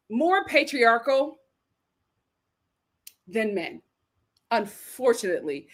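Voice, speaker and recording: A woman hosting a casual livestream, chatting and giving opinions in a theatrical, playful, emphatic manner with drawn-out words. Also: fairly high pitch. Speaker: livestream host reacting to viewer messages. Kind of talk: casual live chat and opinion commentary.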